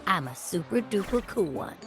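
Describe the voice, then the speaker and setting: An actress voicing a tiny, boastful creature companion in a game, talking in a playful, smug way with little giggles. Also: female voice, medium pitch, big pitch swings, normal speed, fairly bright tone. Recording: game audio with music behind.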